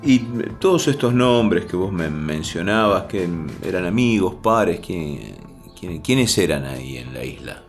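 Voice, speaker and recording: A man hosting an interview, speaking slowly and with measure. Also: low-pitched, big pitch swings, soft background music. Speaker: interviewer and host. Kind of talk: radio-style interview.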